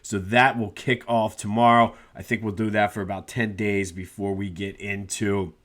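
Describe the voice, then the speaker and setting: A man's voice: lowish voice, little pitch movement, normal speed, fairly bright tone. A man hosting a solo sports podcast, a bit congested and nasal from a cold, talking casually with lots of "uh" fillers. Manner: conversational, with frequent "uh" fillers.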